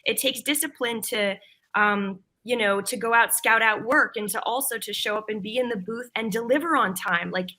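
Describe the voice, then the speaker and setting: A woman's voice: fairly high pitch, normal speed, pushed and bright. A woman talking casually as a voice actor on a panel, earnest and emphatic in an informal way. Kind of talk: casual roundtable discussion.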